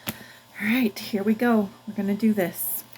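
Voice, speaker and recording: A woman's voice: medium pitch, steady tone, a little slow, darkish tone, slight background noise, low-quality recording. A woman book vlogger chatting casually to camera in informal, slangy language.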